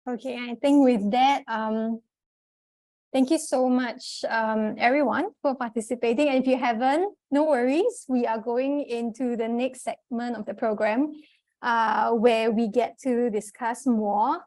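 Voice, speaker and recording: A woman's voice: a little high, fairly even intonation, unhurried, darkish tone, dry recording. A woman facilitating an online group meeting as its host.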